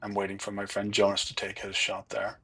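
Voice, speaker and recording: A man narrating his own golf round in a relaxed, chatty, self-deprecating way. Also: on the low side, brisk, slightly rough. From not from Ireland. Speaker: golf vlogger. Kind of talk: casual voice-over commentary.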